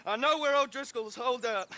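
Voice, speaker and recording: A frightened young American outlaw as a western game's captive. His high, tense voice is nervous, evasive and pleading. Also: brisk, bright, forceful tone. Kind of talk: cinematic western game acting.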